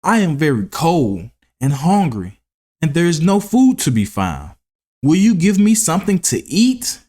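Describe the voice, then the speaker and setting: A man voicing a carefree, mocking grasshopper in a children's storybook, with a teasing, drawn-out delivery. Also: on the high side, sing-song, a little mellow, pitched above the performer's own voice. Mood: pleading, miserable. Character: carefree, mocking young male grasshopper who loves play. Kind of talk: children's storybook read-aloud.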